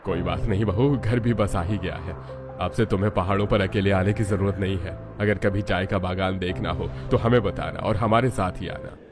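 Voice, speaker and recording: A man's voice: low voice, fairly even intonation, moderate pace, music in the background. A man voicing a protective, concerned village father-in-law in a cartoon story. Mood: reassuring. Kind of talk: scripted cartoon story dialogue.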